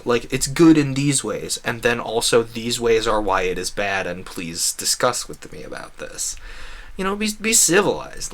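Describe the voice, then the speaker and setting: A man's voice: medium pitch, a bit bright. A man hosting a gaming livestream, rambling casually and earnestly, giving opinions and acting out imagined quotes. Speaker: livestream host.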